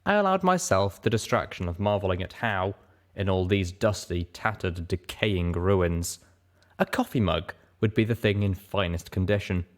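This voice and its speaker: A man's voice: low-pitched, animated, moderate pace. A man narrating a first-person fantasy story as a dramatic audiobook reader.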